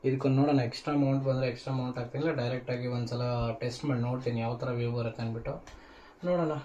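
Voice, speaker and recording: A man's voice: medium pitch, steady tone, racing, dark tone, highs cut off, slight background noise. A man giving a casual hands-on how-to demonstration, informally mixing in English words. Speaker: tech vlogger presenting a tutorial.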